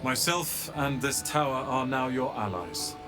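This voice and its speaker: A young man playing an earnest, resolute wizard's apprentice with a wounded past in a dramatic video game, speaking in a measured, emotional way. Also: medium pitch, expressive, over music, close to an everyday voice. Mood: resolute, grateful.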